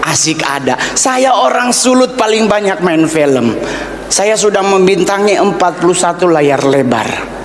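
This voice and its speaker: A high-pitched man jokes at his own expense in a stand-up set at a formal gathering, turning to the guest of honor again and again. His voice rings through a big echoing hall over a steady hiss of noise. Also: measured pace. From Indonesia, North Sulawesi. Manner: teasing, self-mocking, addresses the guest of honor often. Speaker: stand-up comedian. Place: large indoor event hall.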